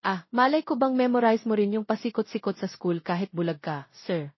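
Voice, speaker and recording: A woman's voice: medium pitch, animated, fairly quick, husky. A woman voicing an innocent young nanny, deferential and earnest, in a romance story. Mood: polite, concerned. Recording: muffled lo-fi, roomy echo.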